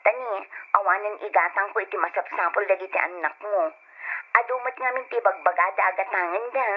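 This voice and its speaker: A woman's voice: medium pitch, brisk, breathy edge. A woman playing a coaxing, demanding wife in a radio drama, heard through a telephone filter. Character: demanding wife smoothly asking her husband for money. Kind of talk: old-style radio drama acting. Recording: thin telephone-like sound, faint hiss.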